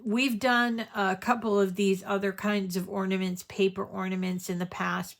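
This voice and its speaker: A woman leads a craft tutorial in a relaxed, drawn-out way, stuffed up from a cold. She rambles and fills pauses as she goes. Her pitch sits in an ordinary middle range. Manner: chatty, rambling with fillers. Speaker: crafting show host.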